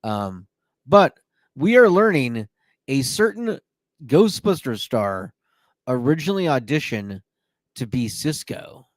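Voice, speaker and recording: A man's voice: medium pitch, big pitch swings, slow, pauses often, no room sound. A man hosting a podcast, chatting casually and joking, with frequent fillers. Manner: playful, jokey, many fillers. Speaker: podcast host. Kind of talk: casual chat.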